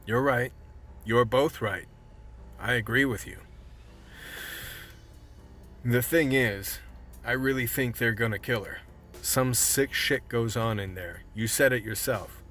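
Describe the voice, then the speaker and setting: A man's voice: mid-range voice, slow, pauses often, a bit bright. Earnest, determined man playing a cop team leader. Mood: earnest, troubled. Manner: earnest, persuasive. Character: determined cop urging his team to a rescue. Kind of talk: radio play dialogue.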